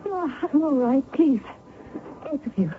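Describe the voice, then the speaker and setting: Impressionable young woman, high dramatic delivery, vintage radio play, thin phone-like sound with faint hiss. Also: animated, a little slow. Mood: shaken, insistent. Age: young adult. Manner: earnest, dramatic. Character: earnest, impressionable young daughter, easily shaken. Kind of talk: old-time radio drama acting. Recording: tinny, noisy old radio recording.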